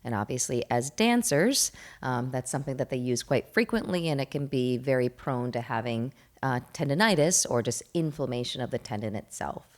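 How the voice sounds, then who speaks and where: A raspy woman with a low voice hosts an interview as a doctor. She is friendly and a little playful with her guest. When she explains medical terms, she does it clearly and plainly. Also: expressive, moderate pace, few pauses. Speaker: podcast host, doctor. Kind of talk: hosted interview.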